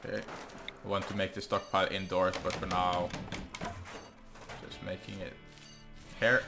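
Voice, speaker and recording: A young man with a Norwegian accent, English not his first language, casually talking through his gameplay and thinking aloud with frequent ums and pauses. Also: deep voice, level tone, creaky, over music. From Norway. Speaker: gaming channel host. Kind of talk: let's play commentary.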